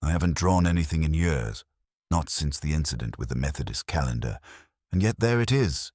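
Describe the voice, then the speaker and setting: Guy doing a fussy nervous vicarage man for radio drama, apologetic, swoopy husky voice. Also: a bass voice, lively intonation, fairly quick, a little mellow, no echo, clean studio sound. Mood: anxious, apologetic. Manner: ceremonious, apologetic.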